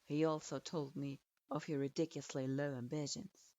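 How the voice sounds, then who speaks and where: A woman's voice: low voice, flat delivery, normal speed, mellow, dry recording, pitched below the performer's own voice, close to an everyday voice. A woman voicing a harsh male ninja instructor who speaks coldly and with derision, recorded with a dull lo-fi sound. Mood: stern. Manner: cold, derisive, stern.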